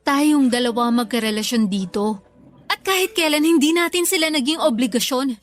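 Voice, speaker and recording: A woman's voice: high voice, lively intonation, brisk. An actress with a Filipino accent playing an earnest, disillusioned young girlfriend in a radio drama. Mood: serious, hurt. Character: hurt, disillusioned young girlfriend, a content creator. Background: music.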